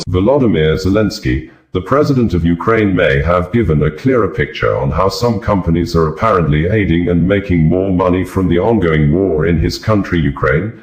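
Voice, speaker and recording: Deep-voiced man reading news in echoey room. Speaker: news reader. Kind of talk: scripted news narration.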